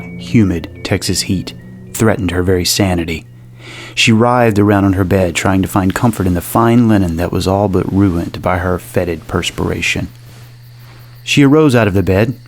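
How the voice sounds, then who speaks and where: A man's voice: sits low, a little mellow. A man reading scripted narration for a horror story. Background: music under the narration.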